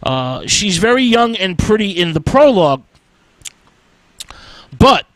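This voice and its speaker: A man hosting a radio segment on film history, talking slowly with frequent "uh" fillers and halting pauses. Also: sits high, very animated, a bit bright, no room sound, rough recording. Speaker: radio host.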